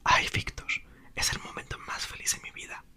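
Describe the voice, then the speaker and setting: A man's voice: moderate pace, close to an everyday voice. Overjoyed, tender young mother, whispered by a man reading a storybook. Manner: whispered, only lightly voiced as a character.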